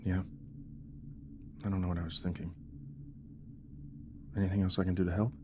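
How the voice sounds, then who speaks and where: A man's voice: low voice, flat delivery, normal speed, noisy background, close to an everyday voice. An actor playing an exhausted young father in an audio drama, placating and puzzled, in an understated conversational way. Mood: placating, low-key. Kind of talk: naturalistic audio drama acting.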